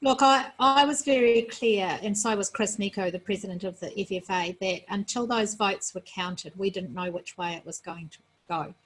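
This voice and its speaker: Woman, interview guest, husky, measured and earnest, tired. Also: medium pitch, poor audio. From New Zealand. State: tired after being up all night. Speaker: sports federation president, guest.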